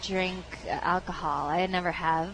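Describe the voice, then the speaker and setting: A woman singer-songwriter giving relaxed, casual interview answers at an outdoor fairground. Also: lowish voice, normal speed, crowd noise, low-quality recording. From Canada. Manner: relaxed, laughs easily, uses um and like.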